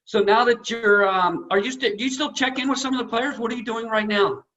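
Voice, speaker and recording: A man's voice: very high-pitched, fairly even intonation, brisk, bright, forceful tone. A man with an American accent hosting a casual interview, effusive and admiring in how he talks. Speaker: interview host. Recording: dull, lo-fi audio.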